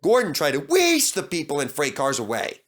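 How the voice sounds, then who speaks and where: A man narrates a children's story from a script. His pitch runs a little high and moves widely, as befits a storyteller. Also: wildly swooping pitch, normal speed, a bit bright.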